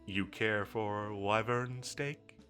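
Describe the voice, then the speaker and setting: A man's voice: fairly low pitch, steady tone, slow, a bit bright, faint music behind. A man playing a confident, teasing villain commander in a roleplay audio drama, drawling and flirtatious with a bossy edge. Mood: playful, flirtatious. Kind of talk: scripted roleplay audio drama addressed to the listener.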